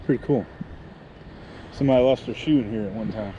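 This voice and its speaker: A man's voice: medium pitch, fairly quick, warm tone, dull audio. A man co-hosting a casual outdoor vlog by the water, talking about things in plain, chatty fashion. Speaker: magnet fishing video co-host. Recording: noisy outdoor ambience behind voice.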